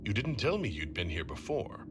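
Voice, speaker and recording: A man's voice: lowish voice, sing-song, moderate pace. A male actor playing a direct, earnest heroic leader in a video game cutscene. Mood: earnest, questioning. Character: commanding heroic adult male leader of an inquisition. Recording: music behind the voice.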